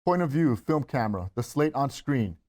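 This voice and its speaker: A man's voice: mid-range voice, animated, normal speed, darkish tone. Man narrating screenplay stage directions. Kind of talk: scripted narration at a screenplay table read.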